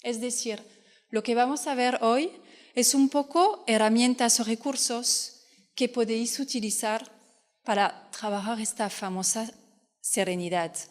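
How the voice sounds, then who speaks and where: A woman's voice: fairly high pitch, unhurried. A woman from France with a French accent, speaking Spanish as a non-native and giving an earnest conference talk as a fertility coach. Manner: earnest, explanatory, with frequent restarts. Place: hall at a fertility fair. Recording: hall reverb.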